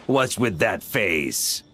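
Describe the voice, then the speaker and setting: An actor playing a jovial, easygoing knight grandmaster in an anime game, with a hearty, informal, teasing manner. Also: male voice, medium pitch, pushed and bright, husky, full character voice. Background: background music.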